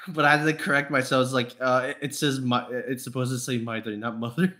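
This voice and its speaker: A man chatting casually as a podcast panelist, excitable and stammering, often repeating his words. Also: medium pitch, moderate pace. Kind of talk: casual group chat.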